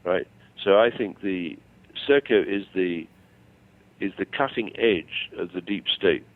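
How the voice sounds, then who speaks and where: Older male phone-in guest, low-pitched, halting yet self-assured, narrow tinny telephone sound. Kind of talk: conversational explanation and opinion over the phone.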